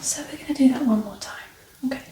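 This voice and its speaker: A woman speaking softly and breathily, often whispering, calm and reassuring as she plays a doctor in an ASMR medical exam. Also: high-pitched, quick pace. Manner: calm, reassuring, gently instructing. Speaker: ASMR performer playing a doctor. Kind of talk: soft-spoken ASMR medical exam roleplay. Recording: roomy echo, distant mic, background noise.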